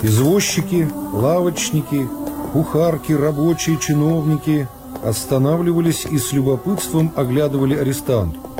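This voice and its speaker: A man reading a classic novel as a scripted radio narration, in a measured, unhurried storytelling manner. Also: fairly high pitch. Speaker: narrator of a literary radio serial. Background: music.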